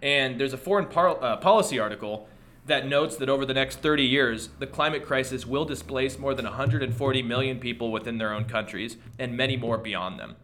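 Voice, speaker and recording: A man talks through world news on his own podcast, thinking aloud with restarts and asides. His voice is bright and forward, with an ordinary middle pitch. He is losing his voice. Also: a little fast. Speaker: podcast host. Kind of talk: solo news commentary podcast.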